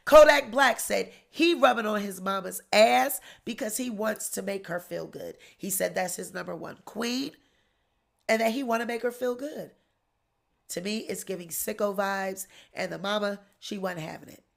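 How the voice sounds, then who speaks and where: A middle-aged woman with a nasal voice, hosting a live celebrity gossip stream in a sassy, animated way, recorded on a studio mic in a small studio. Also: medium pitch, moderate pace, gravelly. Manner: sassy, animated, swearing and laughing. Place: small studio with blue backdrop. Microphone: large studio mic on boom arm.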